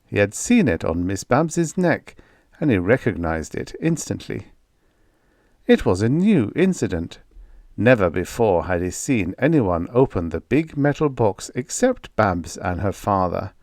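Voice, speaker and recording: A man reading a vintage science fiction story aloud as an audiobook narrator, in a measured storytelling delivery. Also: on the low side, darkish tone, dry recording, studio quality.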